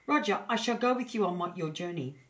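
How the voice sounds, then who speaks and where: Woman as a timid young male dragon, storybook character, soft-hearted, earnest, polite, lowish voice. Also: lively intonation, fairly quick, natural voice. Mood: kind, earnest.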